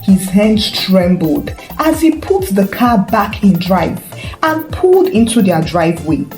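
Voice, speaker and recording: A woman's voice: medium pitch, sing-song, unhurried, darkish tone. A woman narrating a dramatic scripted story as a storyteller. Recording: roomy echo with faint music. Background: faint background music.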